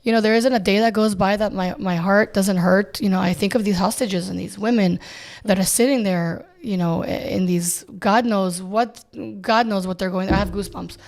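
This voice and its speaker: A woman's voice: mid-range voice, steady tone, moderate pace, a little mellow. A woman born in Israel and raised in the US, a realtor guest speaking earnestly in a podcast chat, often stopping and restarting. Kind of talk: casual chat.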